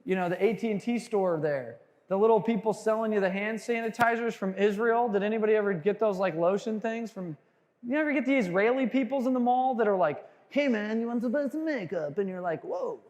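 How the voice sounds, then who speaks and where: A man with a high voice works a crowd at a sales seminar, joking and asking questions. His voice carries a long reverb, as if he is speaking in a big hall. He sounds punchy and animated. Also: a little fast. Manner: animated, jokey, quizzes the crowd. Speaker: sales trainer speaking to a room. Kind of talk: energetic seminar talk to a live audience.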